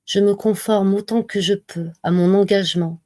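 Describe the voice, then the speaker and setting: A woman giving a slow, measured devotional reading and reminder, pausing often between phrases. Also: medium pitch, steady tone, mellow, dry recording. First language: not Arabic. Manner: slow, measured recitation with pauses. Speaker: religious reminder speaker.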